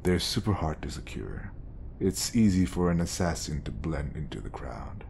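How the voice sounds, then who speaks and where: A man's voice: sing-song, normal speed, darkish tone, slight background noise, heavily acted. A man playing an obsessive, lovestruck bodyguard in an audio roleplay, coaxing and intimate, passing devotion off as professionalism. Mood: devoted. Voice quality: low, creaky. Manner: coaxing, intimate, slipping into whispers. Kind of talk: audio roleplay acting addressed to the listener.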